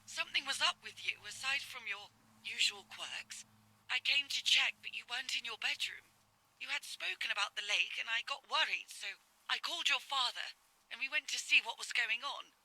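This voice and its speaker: A woman's voice: a little high, normal speed, bright, forceful tone, rough recording. An actress playing an anxious middle-aged mother who pleads with her daughter in distress, in dramatic game dialogue. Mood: worried, explaining.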